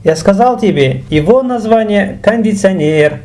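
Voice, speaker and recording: A man teaching a language, explaining and translating phrases in a deliberate, instructional way. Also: high-pitched, animated, a little mellow.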